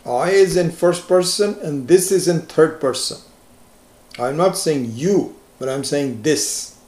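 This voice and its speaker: A man's voice: on the high side, slow, darkish tone. A man whose first language is Hindi, teaching a scripture class and explaining ideas patiently, step by step, with everyday examples. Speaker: Vedanta teacher. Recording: echoey room.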